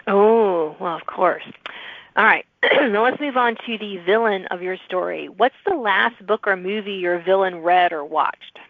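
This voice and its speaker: A woman's voice: mid-range voice, measured pace, no echo. Woman podcast host, dull lo-fi sound. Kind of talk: casual author interview. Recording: muffled, lo-fi audio.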